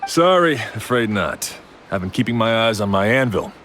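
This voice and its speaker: An actor playing a plain-spoken, helpful blacksmith in a video game. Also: male voice, on the low side, lively intonation, normal speed, a bit bright, soft background music. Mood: apologetic, helpful.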